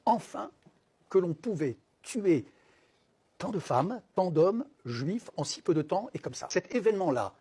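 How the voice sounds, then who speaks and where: Earnest man with a slightly husky voice. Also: sits high, big pitch swings, unhurried, mellow.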